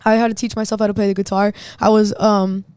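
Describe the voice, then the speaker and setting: Young woman with a deep, blunt voice. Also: level tone, fast, darkish tone, smooth, no echo, clean studio sound. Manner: blunt, defiant, slangy. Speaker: singer and guest. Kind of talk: casual podcast chat.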